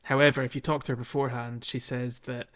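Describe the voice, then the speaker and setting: A man with a mid-pitched voice chats as a podcast co-host about a game playthrough. He explains things step by step in a casual way, pausing and hesitating as he gathers his thoughts. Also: flat delivery, a bit bright.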